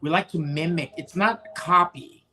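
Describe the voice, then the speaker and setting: Bright chatty man in his forties. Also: fairly high pitch, moderate pace, slight background noise. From Philippines. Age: 40s. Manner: rambling with many restarts and fillers. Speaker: guest, opera singer. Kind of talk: casual chat.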